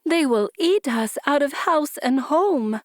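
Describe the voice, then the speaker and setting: A woman's voice: sits high, animated, takes it slowly, a little mellow, dry recording, studio quality, voice pushed up. A woman voicing a very old wife in a storybook, exasperated and practical, scolding with emphatic phrasing. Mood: exasperated, dismayed.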